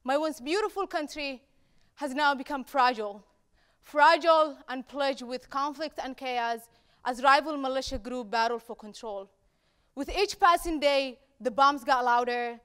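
High-voiced Somali woman giving a stage speech. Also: a bit bright, clear, resonant tone. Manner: rhetorical repetition, deliberate pauses. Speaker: speaker at a campus talk event. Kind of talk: prepared public speech. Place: dark stage in an auditorium.

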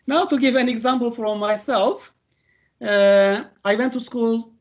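Older Turkish-accented professor lecturing online. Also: male voice, very high voice, lo-fi sound. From Turkey. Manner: halting, many "uh" fillers and "okay" checks. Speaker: university professor.

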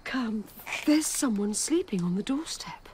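An actress playing a timid young outcast daughter in a radio drama, pleading in a hushed, breathy way. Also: female voice, fairly high pitch, lively intonation, measured pace, a little hiss or hum.

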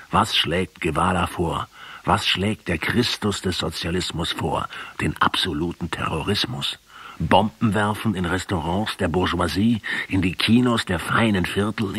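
An actor playing a sombre, reproachful intellectual in a German radio drama, measured and rhetorical, with a very raspy voice. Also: male voice, low voice, a bit bright, no echo, exaggerated voice. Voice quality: very husky, raspy. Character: sombre, reproachful male intellectual interrogating a revolutionary.

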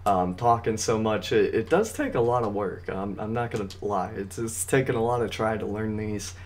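A man narrates a video game guide in a fairly low voice with a slight scratch to it. He explains things step by step in a casual, instructional way, as if walking viewers through the route. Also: lively intonation, normal speed. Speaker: gaming video guide host.

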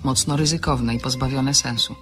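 An actress playing a witty, outspoken single woman in an audio drama, bantering briskly with polite irony. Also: extremely low pitch, fairly even intonation, music in the background. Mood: ironic, probing. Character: witty, outspoken single woman writer, teasing and self-ironic. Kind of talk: Polish audio drama dialogue.

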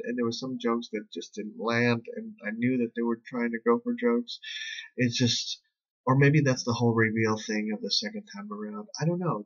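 A man in his forties giving a casual, chatty review, often repeating his phrases for emphasis. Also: medium pitch. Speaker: vlogger reviewing a film. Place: small bedroom, indoors. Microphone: webcam at arm's length. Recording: dull, lo-fi webcam sound.

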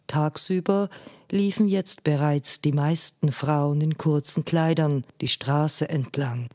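A woman narrating a literary story in a measured way, phrase by phrase. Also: sits low, darkish tone, dry recording, clean studio sound. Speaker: audiobook narrator.

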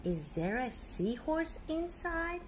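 Middle-aged woman, expressive storybook read-aloud, slow delivery, swooping lively intonation, addressing small children, some background noise. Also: high voice. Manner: animated, simple phrasing addressed to children. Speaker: teacher reading to children. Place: living room at home, indoors.

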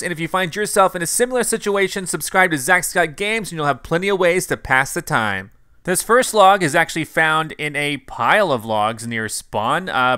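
A man's voice: a little high, bright, forceful tone, clear, resonant tone, dry recording. A man hosting a gaming channel, narrating a video game guide in an upbeat, conversational way straight to viewers.

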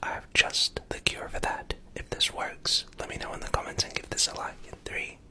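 A young man speaks in a breathy whisper. He is an ASMR creator, recorded indoors very close to a condenser mic. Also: moderate pace. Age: young adult. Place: indoor home recording setup. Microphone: desktop condenser mic up close.

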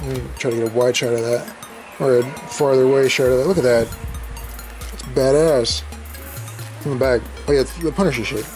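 A young man casually rambling and swearing as he opens old comic books at home, talking into a handheld camera. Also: on the high side. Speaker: podcast host opening comics. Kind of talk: casual unboxing commentary. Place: indoors at home, filming comics on a bed.

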